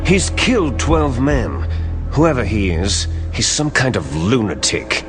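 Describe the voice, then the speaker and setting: A man's voice: mid-range voice, very animated, slow, music in the background. A man playing a smug, menacing villain leader in a video game, theatrical and taunting. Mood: grim, commanding. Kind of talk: dramatic video game acting.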